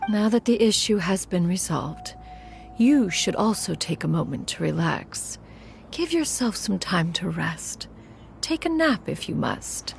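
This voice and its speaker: Game cutscene: composed immortal crane mentor, formal and archaic, gravelly edge. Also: female voice, fairly low pitch, faint music behind, exaggerated voice. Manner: formal, archaic, refers to herself as "one"; calm, measured, composed. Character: dignified ageless crane adept, calm mentor. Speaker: master and mentor.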